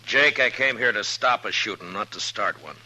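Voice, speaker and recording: An actor playing a stern, steady American marshal of the Old West in 1950s radio western style, speaking firmly and deliberately. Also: male voice, on the low side, slight background noise. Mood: firm, urgent. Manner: firm, deliberate, commanding. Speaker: lead actor and first-person narrator. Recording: old radio broadcast with music.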